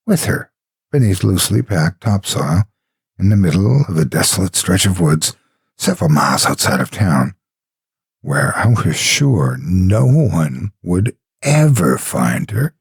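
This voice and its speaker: A man with a raspy, gravelly voice doing scripted horror storytelling in a theatrical, emphatic manner. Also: low-pitched, sing-song, no room sound. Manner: theatrical, emphatic, drawn-out words. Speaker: horror story narrator and host. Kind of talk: dramatic scripted horror narration and promotional address.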